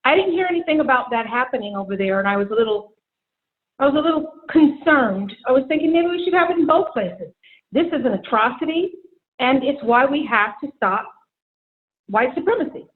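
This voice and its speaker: A woman's voice: sits high, lively intonation, a little fast. A woman from Seattle, a guest on a talk panel, speaking earnestly and outspokenly. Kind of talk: public affairs panel talk. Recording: tinny phone-like remote line.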